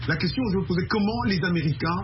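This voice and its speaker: A man interviewing a guest on the radio, chatty and quick with short affirmations. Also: high voice. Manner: chatty, lots of brief affirmations. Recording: muffled radio broadcast with music behind.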